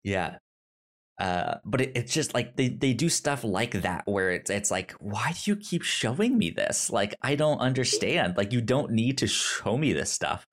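Slow talking guy, kinda low voice, stammers and repeats words, rambling casual movie chat. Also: very animated. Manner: halting, rambling. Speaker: podcast co-host reviewing films.

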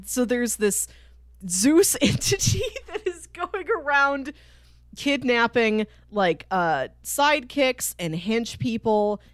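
A woman's voice: on the high side, lively intonation, slow pace, dry recording, studio quality. A woman hosting a podcast, chatting casually about a cartoon series. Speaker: podcast host.

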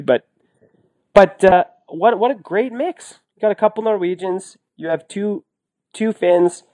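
A man's voice: fairly high pitch, a little mellow. A man chatting casually about sports as a podcast co-host, enthusiastic and emphatic, often rambling as he goes. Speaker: podcast co-host and ski racing commentator.

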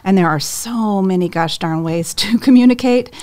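A woman's voice: mid-range voice, moderate pace, a little mellow, smooth. A woman who leads human resources, a guest on a casual company podcast, speaking in an upbeat, appreciative way with some fillers.

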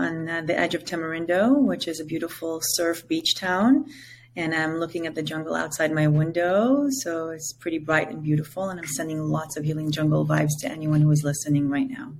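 A woman from Israel, a native Hebrew speaker with an Israeli accent, speaking slowly and searchingly as a podcast guest over a video call. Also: low-pitched, a little hiss or hum. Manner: slow, measured and searching, with many pauses and fillers. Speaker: guest, psychedelic integration coach. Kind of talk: reflective podcast conversation. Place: home with a window onto jungle.

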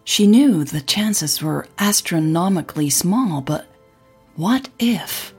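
A woman with a husky, breathy voice narrating a scripted audio drama story. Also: on the low side, lively intonation, slow pace, a little mellow, faint music behind.

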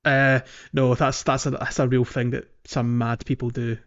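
A man talks casually as a gaming podcast host. His voice sits at a middling pitch. The recording is a little dull and lo-fi, taking the edge off his words. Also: little pitch movement, normal speed.

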